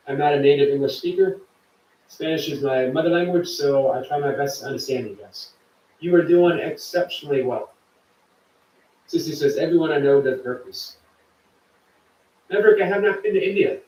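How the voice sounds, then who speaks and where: A man's voice: a little high, a little fast, pauses often, echoey room, distant mic, poor audio. A man hosting a live stream, chatting casually and reading viewer comments aloud. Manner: chatty, warm to viewers, reads chat aloud. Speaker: livestream host.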